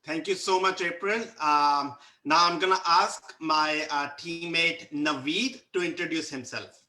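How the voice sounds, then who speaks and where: Bright-voiced man hosting, echoey room. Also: a little high, steady tone, a little slow. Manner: hesitant, many fillers and restarts. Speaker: webinar host. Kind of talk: hosting a company webinar.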